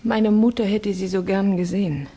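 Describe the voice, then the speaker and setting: An actress playing a refined, earnest young Russian woman in grief, speaking haltingly in a 1960s German radio drama. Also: mid-range voice, steady tone, mellow, husky, close to an everyday voice. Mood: grieving, halting. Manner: halting, formal, intense.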